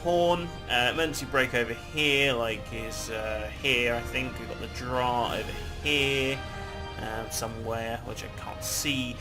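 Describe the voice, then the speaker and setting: A man's voice: medium pitch, a little slow, over music. A man casually chatting and rambling while he plays a game, swearing freely and laughing often. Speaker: gameplay video host. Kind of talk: casual gameplay commentary.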